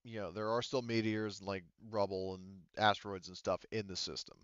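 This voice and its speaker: A man improvising narration as game master in a conversational way, halting as he searches for words and casually profane. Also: fairly low pitch, little pitch movement, fairly bright tone, smooth, no echo, muffled recording. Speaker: game master of a tabletop roleplaying game.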